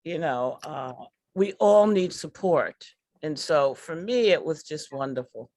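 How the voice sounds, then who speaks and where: Woman, workshop performer, earnest, informal, halting repeated starts, very dry sound. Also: low voice, lively intonation, darkish tone, no echo. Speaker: workshop artist and character-based comedy performer.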